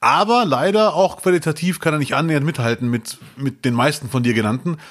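A man's voice: on the high side, brisk, a bit bright. A man co-hosting a casual podcast chat, cracking dry ironic jokes. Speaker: podcast co-host.

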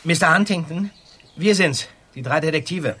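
A man's voice: a little high, moderate pace, bright, forceful tone, a little hiss or hum. An actor playing an eager, polite teenage boy detective in a radio play.

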